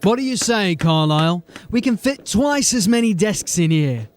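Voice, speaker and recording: High-pitched, stern watch sergeant. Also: male voice, animated, moderate pace. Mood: wry, determined. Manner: curt, commanding. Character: stern, hard-bitten male police sergeant in a fantasy city. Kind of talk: dramatic audio drama acting.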